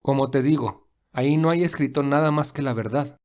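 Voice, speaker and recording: A man playing a reserved young millionaire in an audio story, speaking in a measured, earnest, formal way. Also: medium pitch, a little mellow, close to an everyday voice. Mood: firm, earnest. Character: William, reserved young millionaire, weary and principled.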